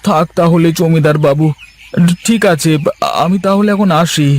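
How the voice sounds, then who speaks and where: A man's voice: a little high, moderate pace, close to an everyday voice. A man voicing a poor, humble village father in a cartoon fairy tale, hesitant and deferential. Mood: pleading.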